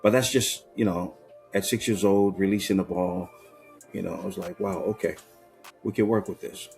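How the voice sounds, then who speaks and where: A man, a quarterback coach, speaking in an animated, conversational way in a documentary interview. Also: deep voice, moderate pace, gravelly, soft background music. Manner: animated, conversational with "you know what I'm saying".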